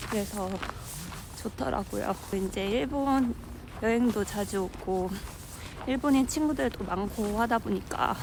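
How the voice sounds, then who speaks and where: A woman's voice: mid-range voice, racing, gravelly, noisy background. A woman vlogging in a chatty, cheerful way, with music under her voice. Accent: Korean. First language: Korean. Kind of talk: casual vlog talk to camera.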